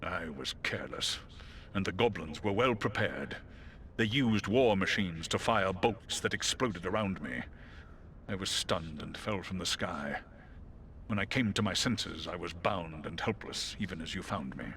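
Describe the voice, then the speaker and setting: An actor playing a noble giant eagle in a fantasy video game, grave and loyal, with formal, measured diction. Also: male voice, sits low, fairly bright tone, a little hiss or hum.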